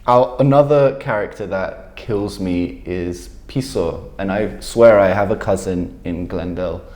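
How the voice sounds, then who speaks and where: A young man interviewing a guest, measured and deliberate in his questions. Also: low-pitched, darkish tone, echoey room. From Britain. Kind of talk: news interview. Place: office studio indoors. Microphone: lapel.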